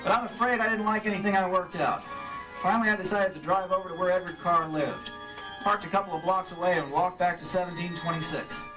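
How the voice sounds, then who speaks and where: Man playing fast-talking hard-boiled radio detective. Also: high-pitched, fairly even intonation, natural voice. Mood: matter-of-fact narrating. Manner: hard-boiled, matter-of-fact. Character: cool, wry male insurance investigator, hard-boiled narrator. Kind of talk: 1940s-50s radio detective acting. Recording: live stage re-creation, distant mic, music.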